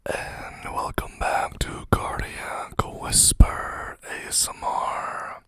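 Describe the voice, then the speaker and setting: Slow, soft ASMR whisperer. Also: no echo. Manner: soft whisper, slow reading with casual chatty asides. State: slightly hoarse, losing voice. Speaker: ASMR channel host. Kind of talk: whispered ASMR poetry reading.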